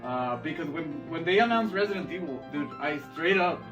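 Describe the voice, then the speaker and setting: Man, slightly high pitch, casual podcast chat, slangy, sweary, a bit far from the mic. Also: expressive, a little fast, muffled recording. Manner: sweary, slangy, says dude often. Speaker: podcast co-host. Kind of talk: casual chat about movies and games. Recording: distant mic with music playing behind.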